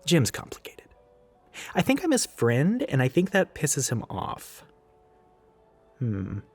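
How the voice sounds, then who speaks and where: Audio roleplay: male actor as a sarcastic, rambling retail coworker of ambiguous gender, flippant and casual, with widely swinging pitch. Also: wildly swooping pitch, takes it slowly, darkish tone, slightly rough, soft background music, full character voice. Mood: wry. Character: sardonic, chatty, gender-ambiguous retail coworker who goes by she. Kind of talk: casual roleplay monologue addressed to the listener.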